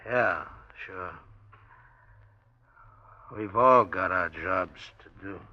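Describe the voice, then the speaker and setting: An American actor playing a sardonic, hard-boiled, injured newspaper reporter in a 1940s-style radio drama, with dry, halting delivery. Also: male voice, deep voice, exaggerated voice. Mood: sardonic, grudging. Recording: dull old radio recording.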